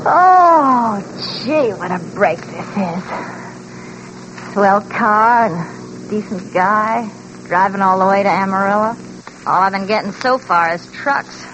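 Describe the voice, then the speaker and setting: An actress playing a breezy, blunt young hitchhiker, talking in casual slang in 1940s radio drama style. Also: female voice, mid-range voice, lively intonation, slow. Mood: breezy, tired. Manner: slangy, casual, matter-of-fact. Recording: noisy old 1940s radio recording.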